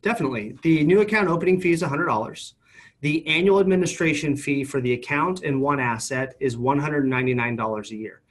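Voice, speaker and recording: A man's voice: fairly high pitch, fast. A man giving explanatory answers in an interview as a financial company representative, matter-of-fact, definite and informative. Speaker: retirement account company representative.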